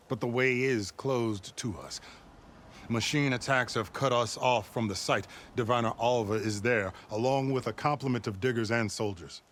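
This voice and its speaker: A man's voice: mid-range voice, fairly bright tone, natural voice. Man acting stern, imperious overseer, faint background noise. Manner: formal, measured, imperious. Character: stern, suspicious overseer of a secretive futuristic order. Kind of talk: video game cutscene acting.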